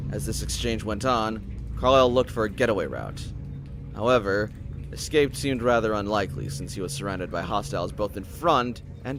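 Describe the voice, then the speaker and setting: A man's voice: fairly low pitch, slight background noise. A man narrating scripted fiction as a dramatic storyteller.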